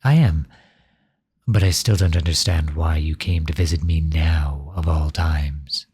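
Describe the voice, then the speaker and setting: Male, actor in character, grumpy tsundere for ASMR roleplay: low, husky, unhurried, sarcastic and exasperated. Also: steady tone, darkish tone, heavily acted. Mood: grudging, weary. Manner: sarcastic, flustered, grudging.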